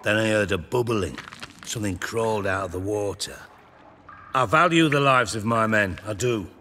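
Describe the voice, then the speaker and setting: A man's voice: lowish voice, moderate pace, bright, forceful tone, slight background noise. An actor playing an irritable, self-important male crime boss in a video game, sardonic, clipped and foul-mouthed. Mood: dry, irritated. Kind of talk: video game dialogue acting.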